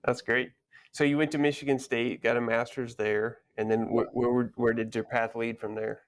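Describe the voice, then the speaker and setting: A man's voice: medium pitch, level tone, normal speed. A man hosting a podcast, asking questions in a casual, encouraging way with short prompts. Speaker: podcast host. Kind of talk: casual interview.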